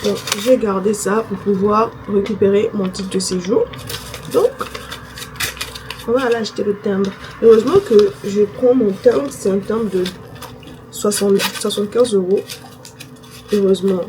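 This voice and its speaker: A woman's voice: medium pitch, measured pace, long pauses, noisy background. A young woman chatting casually to her viewers, thinking aloud with fillers. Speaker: vlogger. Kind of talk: casual vlog chat to camera.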